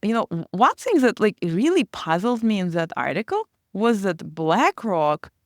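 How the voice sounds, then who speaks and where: A woman's voice: mid-range voice, big pitch swings, unhurried, no room sound, studio quality. A woman finance professor co-hosting a casual academic discussion, explaining with everyday comparisons.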